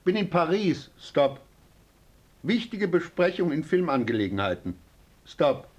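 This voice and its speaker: Anxious opportunist with a husky voice. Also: male voice, fairly high pitch, very animated, a little slow, a little mellow. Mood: calculating. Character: anxious opportunistic actor, ingratiating and self-justifying. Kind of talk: German radio drama acting.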